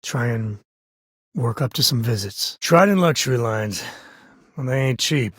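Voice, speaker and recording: Casting: wry space-frontier cowboy for a game, husky mid-range voice, folksy, easygoing, close dry sound. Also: male voice, mid-range voice, lively intonation, unhurried, a bit bright, no echo. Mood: wry, casual. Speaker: video game voice actor. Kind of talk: acted video game companion dialogue.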